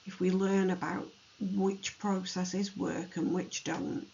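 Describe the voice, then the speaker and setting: Hoarse, gravelly podcast host; slow, reflective delivery; slightly dull recording with faint hiss. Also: mid-range voice, flat delivery, darkish tone. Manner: slow, thoughtful, with many pauses and ums. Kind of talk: educational true-crime narration.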